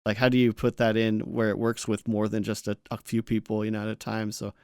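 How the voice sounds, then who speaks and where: A man's voice: lowish voice, completely flat delivery, a little fast, a little mellow, clear, resonant tone, dry recording, clean studio sound. A man co-hosting a casual gaming discussion, with a playfully teasing way of talking. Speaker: podcast co-host.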